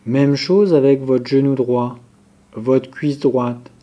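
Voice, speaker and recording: Slow, mellow-voiced male yoga nidra guide. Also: medium pitch, dull audio. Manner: calm, measured, instructive, listing step by step. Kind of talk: guided relaxation meditation, instructions spoken slowly to a group.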